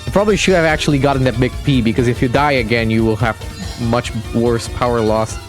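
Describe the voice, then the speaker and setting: A man's voice: medium pitch, fast, music in the background. A man giving casual live commentary on a game replay stream, chatting with viewers and laughing often.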